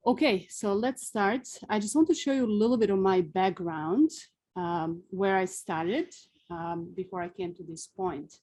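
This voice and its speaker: A woman in her forties from Serbia with a Serbian accent, a sculptor giving a careful, unhurried webinar presentation with frequent fillers, speaking outdoors. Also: medium pitch, warm tone.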